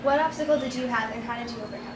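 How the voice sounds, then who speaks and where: High-voiced female interviewer, chatty and upbeat. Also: rapid-fire. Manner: upbeat, heavy use of "like" and "um". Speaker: interviewer, radio show host. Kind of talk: casual interview questions. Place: backstage at a concert venue. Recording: echoey, distant mic, background noise.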